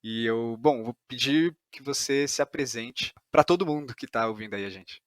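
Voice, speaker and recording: A man's voice: mid-range voice, normal speed, no echo, studio quality. A man with a São Paulo Brazilian accent hosting a podcast interview, talking with hesitations and restarts. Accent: Brazilian Portuguese, São Paulo. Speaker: podcast host. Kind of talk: podcast interview, opens reading a synopsis aloud.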